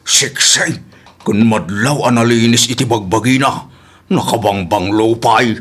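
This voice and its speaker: A man's voice: mid-range voice, measured pace, a bit bright, breathy edge. A man playing a jovial uncle who teases and chuckles, with a Filipino accent, in a comedic radio drama. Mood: teasing. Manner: drawling, hearty chuckles. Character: jovial, teasing uncle who needles his nephew.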